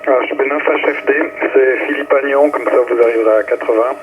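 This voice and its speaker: A man with a very flat, mid-pitched voice speaks on amateur radio. He checks in with call signs. The transmission is narrow, with a faint hum. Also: fairly quick. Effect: ham radio transmission. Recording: humming narrow-band radio, faint noise.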